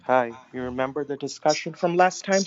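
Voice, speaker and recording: A man's voice: medium pitch, fairly quick, muffled recording. A man calling into a debate show, insistent and stammering, repeating his demand for proof. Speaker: caller. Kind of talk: argumentative phone-in debate.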